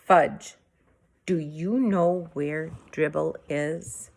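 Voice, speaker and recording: A woman's voice: low-pitched, steady tone, drawn-out words, creaky, exaggerated voice. Woman voicing a frantic, exasperated young boy. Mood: pleading. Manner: insistent, pleading, prone to yelling. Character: frantic, exasperated school-age boy upset over his pet turtle.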